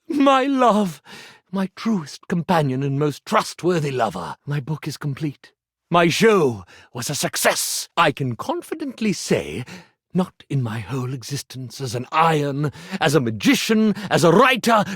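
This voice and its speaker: Casting: grandiose game magician, florid and theatrical, higher-pitched male voice with a husky edge and dramatic pauses. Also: a bit bright, no room sound, studio quality, heavily acted. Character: flamboyant, lovestruck male magician who is a living clothes iron. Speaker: video game voice actor. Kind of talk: theatrical dating game character acting.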